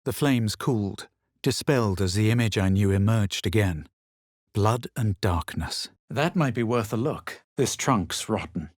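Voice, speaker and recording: A man voicing a self-assured, sardonic male adventurer in a fantasy video game, with a measured, superior delivery. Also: on the low side, animated, dry recording, clean studio sound. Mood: dry, superior. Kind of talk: video game voice lines, narration and spell shouts.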